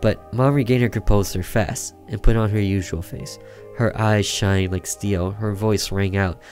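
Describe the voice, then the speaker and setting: A man with a fairly low voice reads a horror visual novel aloud for a gaming video. His delivery is dramatic and theatrical, with the odd stumble and reread, over faint music. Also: steady tone, unhurried, darkish tone. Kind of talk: dramatic reading aloud with asides. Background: game music.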